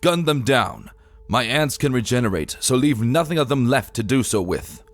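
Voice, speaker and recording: A man's voice: mid-range voice, very animated, moderate pace, pitched above the performer's own voice, close to an everyday voice. A proud young warrior prince, voiced by a man, barks commands with vengeful force. It is a dramatic fantasy reading with faint music underneath. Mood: commanding. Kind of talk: dramatic fan-fiction reading.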